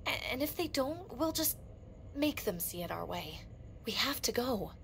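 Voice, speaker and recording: A woman's voice: fairly high pitch, very animated, unhurried, vocal fry, fairly bright tone, slight background noise, full character voice. An actress playing a weary, frightened woman survivor in a video game drama, blunt and emotionally raw. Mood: determined, urgent. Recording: music behind the voice.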